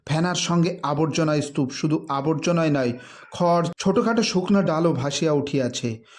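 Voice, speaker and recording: A man with a somewhat high voice narrates dramatic literary prose. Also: moderate pace. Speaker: narrator reading a literary short story.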